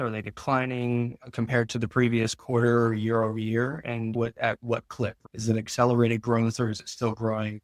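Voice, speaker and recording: A man's voice: mid-range voice, no room sound, studio quality. A man giving informal, explanatory answers as a finance expert in an interview.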